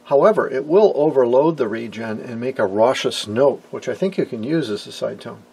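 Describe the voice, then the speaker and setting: Gravelly man over loud radio noise. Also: medium pitch, lively intonation, moderate pace. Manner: explanatory, technical. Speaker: amateur radio operator demonstrating vintage gear. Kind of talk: casual hands-on demonstration.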